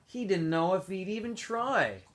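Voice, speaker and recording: A man, a teacher, reading a novel aloud for students, with expressive delivery, small asides and self-corrections. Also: high voice, unhurried. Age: adult.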